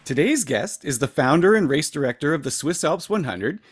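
A man's voice: on the high side, big pitch swings, a little fast, loud music behind the voice. A man hosting a podcast, reading his intro and interviewing a guest in an upbeat, appreciative way. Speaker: podcast host.

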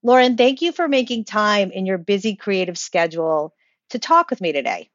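A woman's voice: medium pitch, lively intonation, moderate pace, no room sound, highs cut off. A woman hosting a podcast, reading introductions and putting questions to a guest. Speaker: podcast host and interviewer. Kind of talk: scripted intro and interview.

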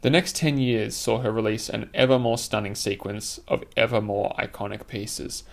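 A man's voice: lowish voice, steady tone, moderate pace, creaky. A man reading his own scripted essay aloud, in a formal, literary and opinionated manner.

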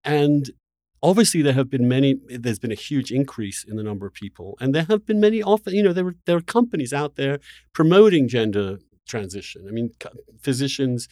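A male interview host in the thick of a debate. His pitch moves around a great deal as he talks. He is insistent but halting, repeating words as he builds his point, and the sound is very dry. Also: lively intonation, a little mellow, no room sound.

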